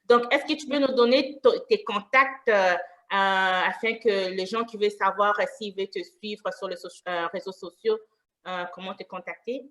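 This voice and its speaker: A woman's voice: mid-range voice, normal speed, a bit bright. A woman hosting an online panel discussion, reading out questions to her guests with frequent fillers. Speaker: host, moderator.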